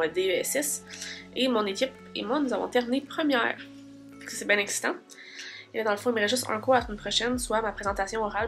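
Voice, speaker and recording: A woman's voice: a little high, fairly bright tone. Chatty Québécois woman with a cold. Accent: Québécois French. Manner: chatty, many fillers like "fait que" and "tu sais". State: congested from a cold. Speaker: vlogger. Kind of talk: casual vlog diary.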